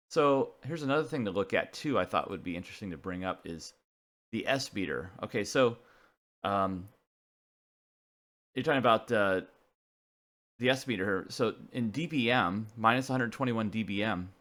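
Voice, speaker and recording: A man with a fairly low voice presents an informal technical explainer. His delivery is casual and halting, as if working things out aloud while he goes. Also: a bit bright. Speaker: presenter of a CB radio technical video.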